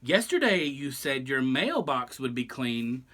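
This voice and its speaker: Storybook stork postman, male reader: fussy, proud, huffy, declaiming with wide pitch swings. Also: very animated, measured pace, sharp tone, exaggerated voice. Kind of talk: picture-book read-aloud.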